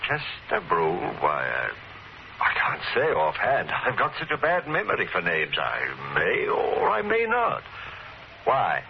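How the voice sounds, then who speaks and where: Very deep, haunted guilty man. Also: wildly swooping pitch, vocal fry, full character voice. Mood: evasive. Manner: halting, intense, repeats himself. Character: guilty, haunted murderer, male bird keeper, nervous and evasive. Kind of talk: old-time radio mystery acting. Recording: noisy, muffled old radio recording.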